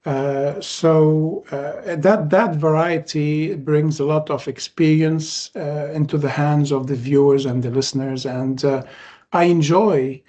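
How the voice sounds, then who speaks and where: A man from Lebanon, Arabic his first language, hosts a podcast chat in a voice pitched somewhat high. He talks in measured phrases, often pausing on "uh" as he gathers his thoughts. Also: fairly even intonation, warm tone. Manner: measured, frequent "uh" pauses. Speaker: podcast host. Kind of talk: casual podcast conversation.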